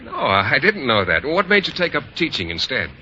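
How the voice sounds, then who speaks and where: A man's voice: medium pitch, huge pitch swings, a little fast, bright, forceful tone, full character voice. A man playing an earnest, oblivious and proper biology teacher, stiffly polite, in a 1940s radio sitcom. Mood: puzzled, hearty. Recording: muffled old radio recording, faint noise.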